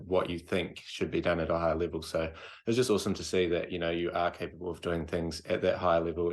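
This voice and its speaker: A man from New Zealand, co-hosting a podcast, talking reflectively with many fillers. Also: low voice. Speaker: co-host, coach. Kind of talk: casual podcast chat.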